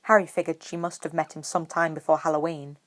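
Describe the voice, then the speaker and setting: A woman's voice: sits low, flat delivery, fast talker. A woman narrating fan fiction as an audiobook reader. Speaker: podfic reader.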